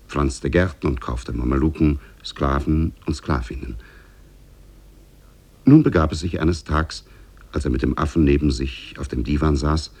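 Very deep, gravelly male storyteller. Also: a little mellow. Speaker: narrator. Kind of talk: scripted storybook narration, 1950s German radio.

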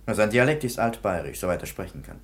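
An actor playing a thoughtful, observant police commissioner of the nineteenth century in a radio drama, measured and musing. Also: male voice, lowish voice, animated, natural voice. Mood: observant, concerned. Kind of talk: German radio drama acting.

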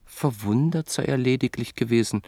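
A man narrating a literary radio drama in a measured storytelling manner. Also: mid-range voice, vocal fry, warm tone, dry recording. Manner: measured storytelling, reporting speech indirectly.

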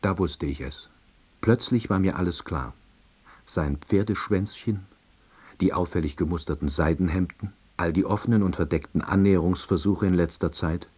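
A man playing a wry, self-deluding office worker who narrates his story in a deadpan, reflective way. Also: low-pitched, moderate pace, breathy edge. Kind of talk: first-person radio play narration.

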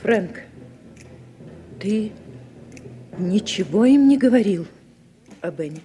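A woman's voice: mid-range voice, lively intonation, slow, mellow, slight background noise. An actress playing a watchful woman who tells her story in dramatic scripted style in a Soviet radio play. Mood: suspicious. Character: watchful woman narrating her memories, questioning her partner. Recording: vintage radio play with music.

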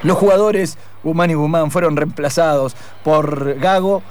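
A man's voice: on the high side, a little slow, slight background noise, natural voice. A man playing a radio narrator and match commentator, repeating phrases in play-by-play fashion in improvised comedy. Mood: storytelling. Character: breathless football commentator turned storytelling radio narrator.

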